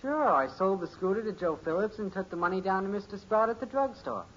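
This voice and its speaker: An actor playing an eager, naive teenage son in a 1950s radio sitcom, earnest and excitable with slangy talk. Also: male voice, sits high, brisk. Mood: confident. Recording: muffled, hissy old radio recording.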